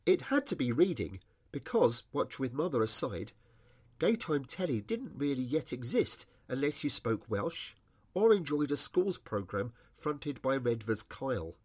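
Animated British man reading wry memoir. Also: medium pitch, measured pace, slightly rough. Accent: British English. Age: middle-aged. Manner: wry, expressive storytelling. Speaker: writer reading own personal essay. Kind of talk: scripted narration of humorous memoir.

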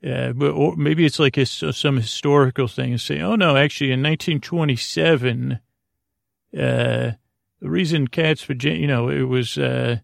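A man hosting a bedtime podcast in a creaky voice, meandering and halting, often stammering and repeating himself in a soothing, friendly way. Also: mid-range voice, dry recording, clean studio sound. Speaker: podcast host. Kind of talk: rambling bedtime monologue.

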